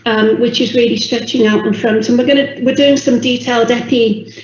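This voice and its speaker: English woman presenting data at a meeting, going hoarse, roomy lo-fi call audio. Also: fairly high pitch, fairly even intonation. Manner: measured, with frequent ums. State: losing her voice. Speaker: public health officer presenting. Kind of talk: online council meeting briefing. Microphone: video call. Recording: echoey, dull video call audio.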